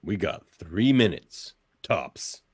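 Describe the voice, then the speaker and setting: A man acts out a gruff mutant brawler in a radio play. The voice is low and slow, with curt, sardonic delivery and a dry, close sound. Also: very animated, no room sound, heavily acted. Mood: grim. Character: gruff, impatient, sardonic male mutant fighter. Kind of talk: live radio theatre read.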